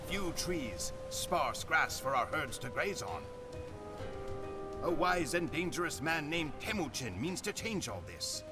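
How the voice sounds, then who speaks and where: An actor playing a grim Mongol warrior who solemnly recounts his Khan's conquests as dramatic game cutscene narration, with deliberate phrasing. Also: fairly high pitch. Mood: solemn, foreboding.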